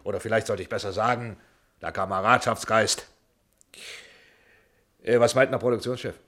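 An actor playing a bossy, irritable film producer with a commanding, emphatic manner, in a German radio play. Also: male voice, lowish voice, fairly even intonation, moderate pace, fairly bright tone. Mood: smooth, deferential. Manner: commanding, emphatic, formal with superiors.

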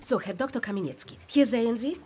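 A woman's voice: on the high side, quick pace, close to an everyday voice. An actress playing a pushy married woman pleading with officials, urgent and formally polite yet insistent, in a German radio play. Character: pushy, pleading married female petitioner with documents. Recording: studio radio play with clear background noise.

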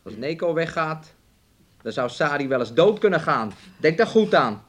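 A man's voice: fairly high pitch, very animated, normal speed. An actor in a Dutch radio play playing a controlling, possessive man who coaxes and needles with repeated questions. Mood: insistent, needling. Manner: coaxing, needling, repetitive questions, refers to himself in third person. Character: possessive, controlling man keeping his sick fiancée hidden away.

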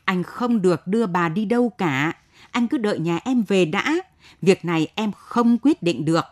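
A woman voicing a village wife in a story who laments loudly and theatrically. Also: mid-range voice, animated, moderate pace, natural voice. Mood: frantic. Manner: loud, pleading, theatrical lamenting. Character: melodramatic wailing village wife, dutiful daughter-in-law act.

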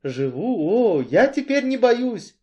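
Man acting a timid, pleading man, pitched high. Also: very animated, unhurried, mellow, lo-fi sound. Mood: anxious, fawning. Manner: plaintive, trembling, questioning.

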